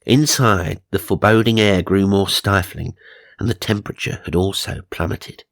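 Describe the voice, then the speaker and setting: A man's voice: deep voice, moderate pace, creaky, dry recording, clean studio sound. A man narrating supernatural magazine stories for comedy, mock-serious and dramatic, with wry asides. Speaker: narrator and host.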